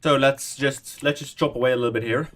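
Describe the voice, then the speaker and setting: A man's voice: mid-range voice, steady tone, a little fast, fairly bright tone. A man, chatting casually and rambling while he plays a building game on stream, muttering under his breath as he types commands. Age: 20s. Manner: rambling, chatty, self-deprecating. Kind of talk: casual Minecraft stream commentary.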